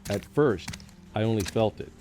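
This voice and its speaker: A man acting a low-voiced, haunted professor. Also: normal speed, darkish tone, lightly acted. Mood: rising terror. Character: frightened haunted male college professor recounting a curse. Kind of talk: radio horror drama narration and dialogue. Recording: music under the voice.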